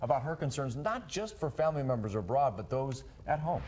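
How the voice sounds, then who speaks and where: A man's voice: mid-range voice, very animated, a little fast, darkish tone, slight background noise. Male TV news anchor, plain-spoken. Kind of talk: broadcast news presentation.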